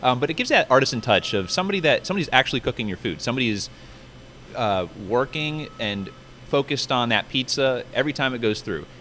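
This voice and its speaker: A man's voice: mid-range voice, lively intonation, fast talker, bright, forceful tone, slight background noise. A man chatting casually and at length as a restaurant manager being interviewed on site, talking in a rambling way. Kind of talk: casual promotional interview chat. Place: inside a pizza restaurant.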